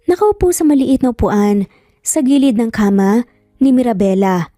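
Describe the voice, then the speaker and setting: Female narrator for romance drama: warm tone, fairly high, close dry sound with light music behind. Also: animated, normal speed, dry recording. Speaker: story narrator.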